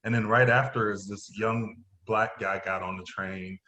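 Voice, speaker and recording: A man's voice: on the low side, steady tone, normal speed, bright, forceful tone. A man chatting casually as a playwright about his own writing. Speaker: playwright, acting teacher. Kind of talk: casual chat. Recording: roomy, faintly noisy remote call.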